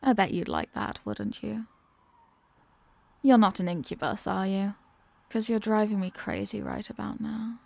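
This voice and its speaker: A woman playing a seductive, dominant villain in an intimate ASMR roleplay, teasing and sultry, often slipping toward breathy whispers. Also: mid-range voice, measured pace, pauses often, dry recording. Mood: confident, teasing. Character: seductive, dominant female villain toying with her hostage. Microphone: close microphone in a dry space.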